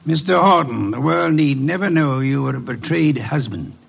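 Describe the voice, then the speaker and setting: An actor playing an imperious, eccentric older detective in a vintage radio mystery, speaking formally and deliberately with pompous weight. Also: male voice, fairly high pitch, expressive, vocal fry, husky, exaggerated voice, low-quality recording. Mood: solemn, expository. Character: imperious, eccentric genius male private detective. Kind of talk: 1950s American radio mystery acting. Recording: vintage radio drama recording.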